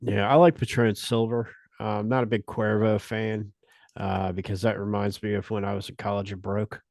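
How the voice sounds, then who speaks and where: A middle-aged man hosting a casual podcast chat, rambling and halting as he talks. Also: fairly low pitch, little pitch movement, vocal fry, darkish tone, dry recording. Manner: rambling, halting, restarts often. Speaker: podcast host.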